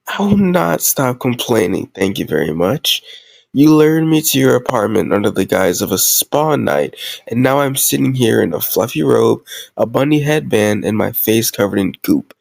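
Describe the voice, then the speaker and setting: A man's voice: mid-range voice, animated, moderate pace, creaky, no echo. A man playing a tough gang leader who is a playful, doting boyfriend in an intimate roleplay audio, teasing and mock-grumbling affectionately.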